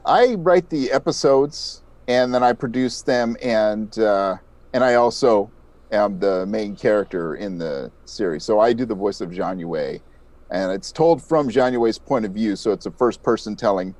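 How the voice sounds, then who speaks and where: A man's voice: on the low side, measured pace, a little mellow, no room sound. A man speaking as an interview guest, explaining things modestly in a conversational way. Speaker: interview guest, audio drama podcast creator.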